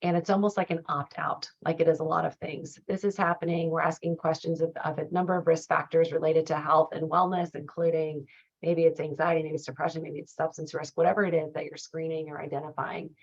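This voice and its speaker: A woman leading a professional training, explaining slides in a conversational, supportive way, with a slightly raspy voice that is starting to give out. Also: deep voice, flat delivery, a little fast. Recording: dull, muffled lo-fi audio.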